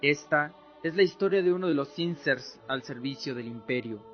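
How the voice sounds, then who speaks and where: Male narrator, scripted fantasy audio drama, measured solemn storytelling, slightly muffled lo-fi recording, soft music underneath. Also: on the high side. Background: music bed.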